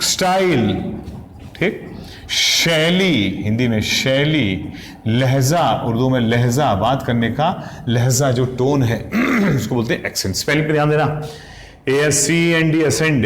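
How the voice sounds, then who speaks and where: Echoey man teaching English vocabulary. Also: medium pitch. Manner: spells words letter by letter, repeats "ठीक?" constantly; brisk and chatty with frequent self-corrections. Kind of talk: exam-prep vocabulary lesson. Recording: echoey room, faint ambient noise.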